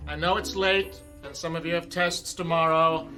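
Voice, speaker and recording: A man playing a brisk, practical theater director giving orders to a cast. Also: a little high, fairly even intonation, over music, lightly acted. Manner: brisk, commanding. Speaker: stage director. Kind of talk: radio drama acting.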